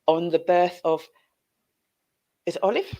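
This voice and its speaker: A woman's voice: fairly low pitch, a little slow, mellow. A middle-aged British woman hosting a casual online chat, effusive and familiar with her guest. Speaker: show host. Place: room at home, indoors. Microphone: headset on a video call.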